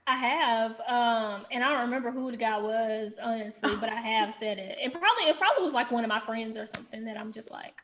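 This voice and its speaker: A woman's voice: a little high, brisk, few pauses, a bit bright, slight background noise. A woman in her thirties chatting casually and candidly on a podcast, in colloquial speech. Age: 30s. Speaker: podcast host. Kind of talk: casual chat.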